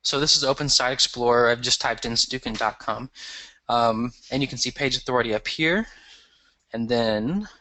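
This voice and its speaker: Male guest expert with a cold, casual explainer, bright voice, dry recording. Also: medium pitch, moderate pace, no echo, poor audio. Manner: casual, explanatory, fillers and restarts. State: has a cold. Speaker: guest expert teaching a webinar. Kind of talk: webinar lesson over slides.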